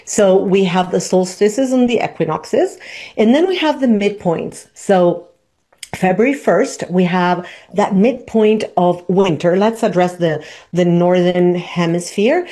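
A woman, hosting a podcast and teaching in an unhurried way, tagging her points with "right?". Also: fairly low pitch, mellow. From Argentina. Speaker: podcast host. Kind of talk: reflective teaching talk.

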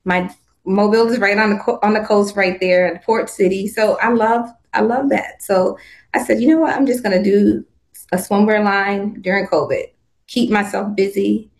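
A woman's voice: medium pitch, fairly even intonation, normal speed. Upbeat chatty woman, 50s, lots of restarts, talking about her design work. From Southern California. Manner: upbeat, chatty, laughs often, many restarts. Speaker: guest, swimwear designer. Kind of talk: casual interview chat.

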